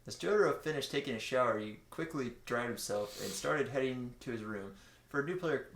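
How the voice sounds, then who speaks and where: A man's voice: mid-range voice, fairly quick, gravelly, fairly bright tone, a little hiss or hum. A man co-hosting a casual comedic read-aloud of fan fiction, stumbling over words and correcting himself as he goes. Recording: roomy, echoey home recording.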